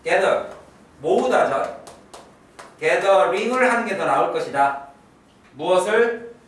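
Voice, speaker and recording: A male English teacher giving an exam prep lecture, repeating key phrases slowly and drilling his students. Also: high-pitched, expressive, very echoey, far from the mic.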